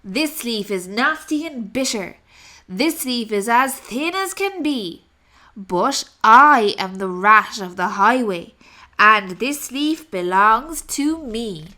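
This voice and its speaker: A woman voicing a fearsome, greedy male rat highwayman in a storybook, bellowing and commanding with scorn. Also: animated, slow pace, bright, forceful tone, full character voice. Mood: contemptuous. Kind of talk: storybook character voice.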